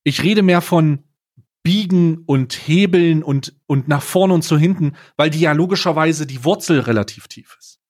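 A man's voice: fairly high pitch, moderate pace. A man telling anecdotes casually as a podcast co-host, blunt and slangy, dropping in bits of English.

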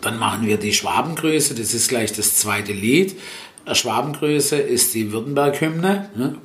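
A man's voice: mid-range voice, expressive, a little slow. A middle-aged man whose first language is Italian, working as a festival-tent jester and stage host, answering interview questions in a rambling way, full of fillers, self-corrections and a tag "ne?". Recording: roomy echo with faint background noise.

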